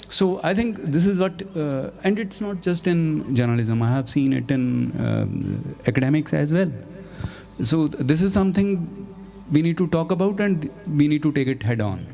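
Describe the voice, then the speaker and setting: A man from Punjab, a journalist speaking earnestly on a festival panel, pausing with fillers. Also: on the high side, sing-song, gravelly, dark tone. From Punjab, India. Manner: earnest, emphatic, hesitant with fillers. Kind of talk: panel discussion. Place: festival stage.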